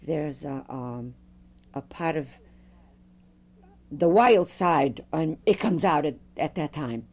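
A middle-aged woman, a Fado singer, telling stories with a strong, loud voice in an interview. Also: low voice, takes it slowly. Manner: animated storyteller, strong loud voice. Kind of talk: oral history interview.

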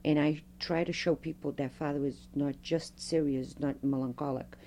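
A middle-aged woman, a Fado singer, telling stories with a strong, loud voice in an interview. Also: low voice, moderate pace, a little hiss or hum. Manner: animated storyteller, strong loud voice. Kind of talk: oral history interview.

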